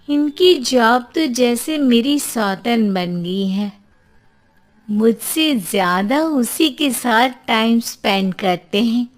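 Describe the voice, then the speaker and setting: Woman acting high-pitched, easily alarmed young wife. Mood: grumbling. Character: frightened, exasperated young wife who saw a ghost.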